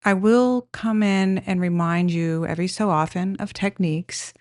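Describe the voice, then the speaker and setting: A woman leading a guided meditation with gentle, unhurried, simple instructions. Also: on the low side, fairly even intonation, no room sound, clean studio sound.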